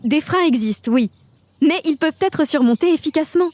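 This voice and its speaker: High-voiced upbeat woman narrator. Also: animated, heavy background noise. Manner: upbeat, informative, lists facts briskly. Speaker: regional nature park staff. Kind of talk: scripted explainer narration.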